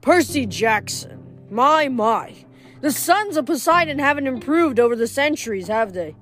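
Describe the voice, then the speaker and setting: A woman voicing a malicious ancient male ghost king who talks in a slow, sneering, menacing way. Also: high voice, animated, faint music behind. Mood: mocking.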